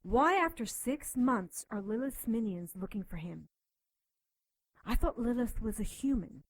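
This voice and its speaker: An actress playing a tough, sardonic occultist doctor in an audio drama, commanding and quick to threaten. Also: female voice, fairly high pitch, expressive, no echo, poor audio. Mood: demanding.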